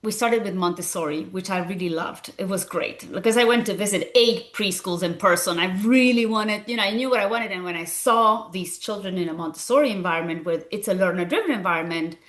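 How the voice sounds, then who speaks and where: Woman, 40s, Argentine accent, interview guest, earnest and animated, mid pitch. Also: a little fast, hardly any pauses. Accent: Argentine Spanish. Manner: animated, earnest, frequent fillers. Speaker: guest, school founder and physician. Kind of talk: conversational interview.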